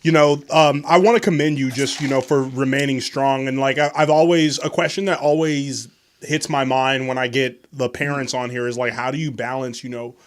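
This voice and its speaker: A man's voice: mid-range voice, fairly even intonation, normal speed. A man from the Los Angeles area, hosting a casual interview and asking supportive follow-up questions. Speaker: interview host.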